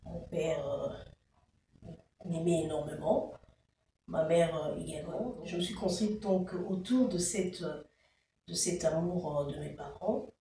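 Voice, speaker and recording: A Congolese woman speaks slowly and carefully, pausing often on "euh" as she recalls her childhood. Her voice is low and mellow. It sounds distant, in a roomy, echoey space with a faint hum of ambience behind her. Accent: Congolese French. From Democratic Republic of the Congo. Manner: hesitant, frequent "euh" fillers. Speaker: show creator, interviewee. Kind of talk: slow, measured personal storytelling.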